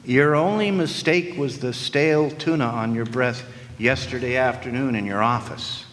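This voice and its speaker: A man's voice: medium pitch, a bit bright, natural voice. An actor in a live radio play playing a wisecracking hardboiled male private eye who narrates in a dry, sardonic way. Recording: echoey hall with faint noise.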